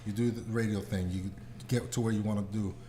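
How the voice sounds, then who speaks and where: A man's voice: fairly low pitch, fairly even intonation, fast talker, warm tone. A man hosting a podcast, chatting and asking questions in an informal, slangy way. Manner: slangy, informal, swears casually. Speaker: podcast host. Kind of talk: casual interview chat. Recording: clear background noise.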